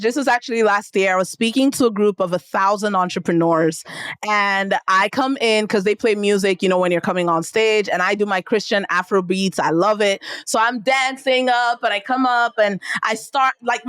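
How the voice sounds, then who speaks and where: A woman with a Nigerian accent, a podcast guest telling stories in a lively, casual way. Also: no room sound. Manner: animated, acts out quotes with big pitch jumps. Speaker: podcast guest, entrepreneur and speaker. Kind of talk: storytelling in casual interview.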